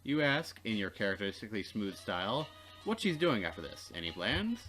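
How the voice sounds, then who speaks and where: A man reading a visual novel aloud as a Let's Play narrator, expressive and playfully dramatic. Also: on the low side, brisk, faint music behind.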